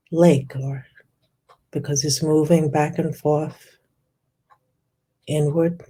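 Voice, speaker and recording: Slow calm meditation voice, super dark and mellow, soothing guided imagery. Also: mid-range voice. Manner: soft, soothing, unhurried, lingering on descriptive words. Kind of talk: guided visualization.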